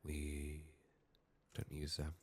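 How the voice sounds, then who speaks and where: Very deep, soft-spoken man, ASMR gamer. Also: monotone, breathy edge. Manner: muttering to himself in short, unhurried phrases. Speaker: video game player and streamer. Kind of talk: soft-spoken ASMR game commentary, partly whispered.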